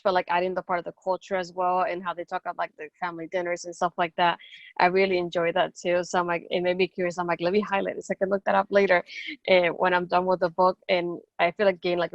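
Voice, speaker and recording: A woman's voice: lowish voice, no room sound, highs cut off. A young woman from Puerto Rico interviewing an author over a video call, talking enthusiastically and acting out what people said. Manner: fast, enthusiastic, acts out quoted reactions. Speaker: interviewer, book reviewer. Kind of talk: casual interview chat.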